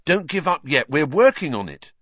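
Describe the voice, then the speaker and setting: A loyal teenage boy in a storybook, voiced by a man, blunt and earnest. He is fiery yet awkward, and his pitch moves about freely. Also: big pitch swings, normal speed, a bit bright, no room sound, dull audio. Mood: fierce, encouraging.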